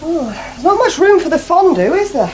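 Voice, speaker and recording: An actress playing a diffident, put-upon village helper in a radio soap, hesitant and deferential. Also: female voice, extremely high pitch, very animated, moderate pace, a little mellow. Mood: hesitant, obliging. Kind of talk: naturalistic radio soap acting. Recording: noisy 1980s radio broadcast.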